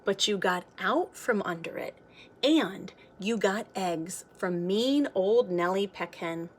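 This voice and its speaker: Storybook grandmother, read by a woman: elderly, steady, encouraging, unhurried delivery, mid-range pitch. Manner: gentle, reassuring. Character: steady, soft-spoken old Russian grandmother, encouraging.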